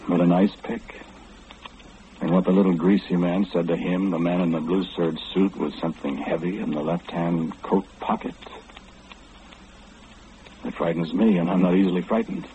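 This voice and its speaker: A man's voice: low voice, fairly even intonation, normal speed, pauses often, lightly acted. An actor in an old-time radio horror play, playing an omniscient, ominous man who confides insistently and questioningly in the listener. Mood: ominous, confiding. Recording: muffled, hissy old radio recording.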